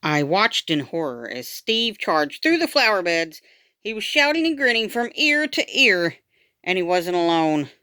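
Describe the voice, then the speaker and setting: A woman reading a children's book aloud in an expressive, dramatic storytime style. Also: fairly low pitch, a little slow, no echo, studio quality. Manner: animated, dramatic. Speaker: storytime reader.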